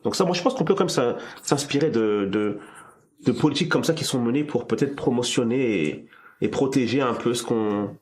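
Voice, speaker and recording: A man's voice: mid-range voice, moderate pace, a little mellow. A man hosting a casual discussion, thinking aloud with frequent restarts and repeated words. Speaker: podcast host.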